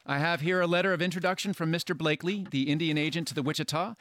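Polite young scholar played by an actor, lightish voice. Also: male voice, fairly high pitch, quick pace, fairly bright tone, close to an everyday voice. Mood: earnest, respectful. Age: young adult. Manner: polite, slightly hesitant. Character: polite, earnest, deferential young male ethnographer. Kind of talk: old-time radio drama acting.

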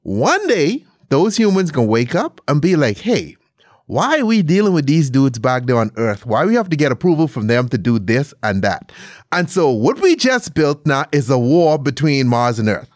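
Animated, playful male host. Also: fairly high pitch, normal speed, no room sound, studio quality. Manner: animated, playful, laughs often. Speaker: podcast host. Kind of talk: casual interview chat. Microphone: video call.

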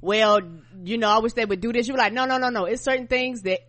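A woman's voice: on the high side, quick pace, a bit bright. An American woman co-hosting a podcast, chiming in with casual, agreeing banter. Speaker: podcast co-host.

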